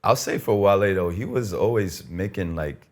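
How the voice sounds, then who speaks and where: A man's voice: low voice, normal speed. A man whose first language is Twi, a guest musician talking in a loose, rambling way full of fillers. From Ghana and United States. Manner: relaxed, rambling with many "like" fillers. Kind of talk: casual podcast conversation.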